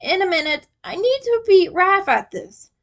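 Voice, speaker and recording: A woman's voice: very high-pitched, sing-song, moderate pace, fairly bright tone, voice pushed up, heavily acted. A woman reading the lines of a playful, teasing young friend in a fan story. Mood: competitive.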